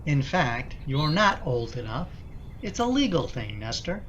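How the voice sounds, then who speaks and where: Harried radio-drama dad woman performer: deliberate pace, expressive swings in pitch, dull recording. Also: slight background noise. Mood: exasperated, indignant. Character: harried, placating middle-aged husband and exasperated father. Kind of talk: radio play acting.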